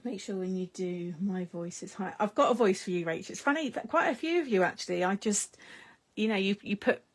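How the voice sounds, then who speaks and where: Chatty British woman with a husky voice. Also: mid-range voice, expressive, fairly quick. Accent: British English. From United Kingdom. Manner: chatty, reads comments aloud, laughs often. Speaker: crafting livestream host. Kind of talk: casual live chat while crafting.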